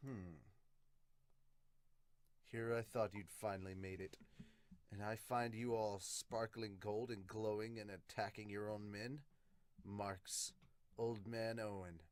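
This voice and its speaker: A man's voice: lowish voice, fairly even intonation, slow pace, long pauses. A man voicing a weary, blunt, sardonic male knight captain in a manga dub.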